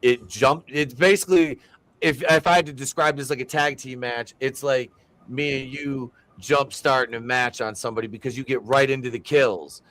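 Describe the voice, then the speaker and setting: A man's voice: mid-range voice, steady tone, moderate pace, fairly bright tone, no room sound. A man on a podcast who often restarts his words as he talks. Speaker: podcast co-host. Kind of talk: casual podcast chat.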